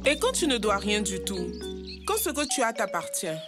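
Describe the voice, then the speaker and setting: A woman voicing a naive, excitable monkey in a dubbed educational cartoon, puzzled and exclamatory. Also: mid-range voice, normal speed, close to an everyday voice. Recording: music behind the voice.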